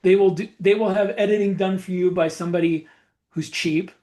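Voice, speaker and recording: Man, noticeably high voice, podcast co-host, casual explanatory talk, blunt and opinionated. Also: fairly even intonation, brisk, dark tone.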